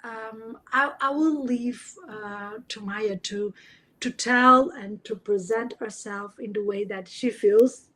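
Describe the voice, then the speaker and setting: A woman's voice: a little high, slow pace, fairly bright tone. A woman from Portugal, hosting a live podcast over a video call, talking earnestly and searching for her words. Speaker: podcast host.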